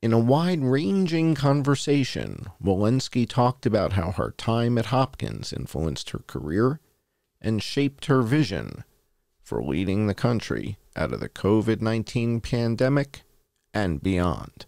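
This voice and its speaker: A man with a very low voice reads an article aloud as a host. His voice has a gravelly creak and moves through lively rises and falls. The sound is dry and close. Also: unhurried, no room sound. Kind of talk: read-aloud article narration.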